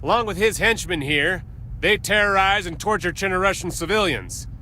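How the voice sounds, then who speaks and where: An actor playing a brisk, blunt American Marine officer giving a businesslike slide briefing to his men in a video game. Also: high-pitched, bright, forceful tone. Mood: brisk, urgent. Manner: blunt, commanding. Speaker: military officer. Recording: clear background vehicle noise.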